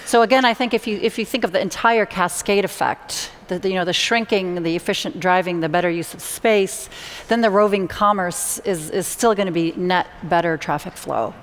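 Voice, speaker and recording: A woman's voice: on the low side, fairly quick. Woman panelist in a reverberant hall. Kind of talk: explanatory panel discussion. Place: large hall, panel stage. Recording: echoey hall with long reverb.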